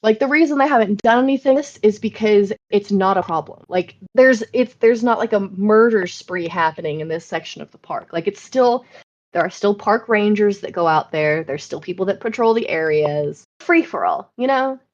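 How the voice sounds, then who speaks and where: An American woman hosting a podcast, explaining things in an informal, animated, sweary way. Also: mid-range voice, moderate pace, muffled recording. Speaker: podcast host. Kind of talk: casual explanatory podcast chat.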